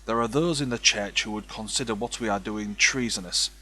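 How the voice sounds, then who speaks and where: An actor playing a stern, suspicious hard-line bishop, theatrical, emphatic and sardonic in an audio drama. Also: male voice, fairly low pitch, a little fast, bright, forceful tone. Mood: indignant.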